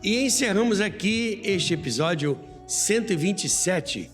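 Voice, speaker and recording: A Brazilian man hosting and narrating a scripted history documentary in a dramatic, nostalgic storytelling manner. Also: on the high side. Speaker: podcast host and narrator. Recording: long reverb, faint music behind.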